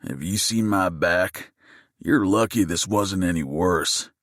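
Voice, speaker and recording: A man voicing a gruff, low-voiced masked gunman who threatens a family, in an audiobook. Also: slow, a bit bright, dry recording, studio quality, full character voice. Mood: threatening.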